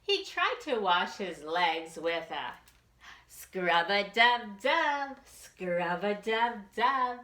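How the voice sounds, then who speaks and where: A woman's voice: mid-range voice, big pitch swings, very slow, bright, forceful tone, echoey room. A woman reading aloud at a children's storytime in a sing-song, exaggerated way, inviting the children to join in.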